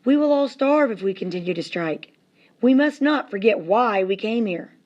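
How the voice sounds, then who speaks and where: Woman as earnest railroad laborer, steadfast older brother, measured, storybook character. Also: mid-range voice, animated, a little mellow, highs cut off, natural voice.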